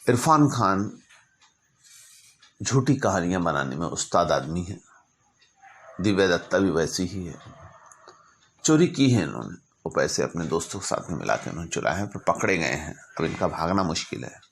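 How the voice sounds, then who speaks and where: Very deep-voiced man telling stories. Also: sing-song, moderate pace, vocal fry, long pauses, a little hiss or hum. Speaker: astrologer telling client stories. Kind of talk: informal narrated storytelling.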